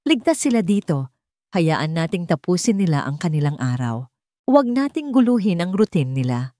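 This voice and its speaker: A calm, protective father with a Filipino accent, played by a woman narrator in her lowish voice. He is resolute and matter-of-fact, as told in an audio story. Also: moderate pace, no echo, clean studio sound. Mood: serious, reassuring.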